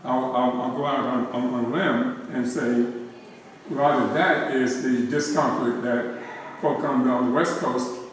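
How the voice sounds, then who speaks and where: A man's voice: medium pitch, steady tone, measured pace, slight background noise. A man in the audience at a gallery talk, asking a long question with many restarts and repeated words. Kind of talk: hesitant question from the floor. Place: art gallery. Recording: echoey gallery room, far from mic.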